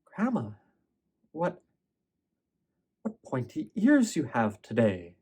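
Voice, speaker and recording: A man's voice: mid-range voice, wildly swooping pitch, takes it slowly, warm tone, slightly rough, full character voice. A man voicing an innocent young girl from a storybook, speaking in a light, hesitant, wondering way. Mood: wary wonder. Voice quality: light, raised voice. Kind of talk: storybook character voice in oral storytelling.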